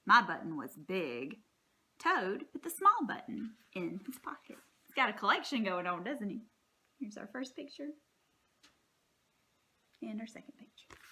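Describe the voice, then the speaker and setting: A woman reading a children's story aloud like a teacher, expressive, with deliberate, emphatic phrasing. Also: fairly high pitch.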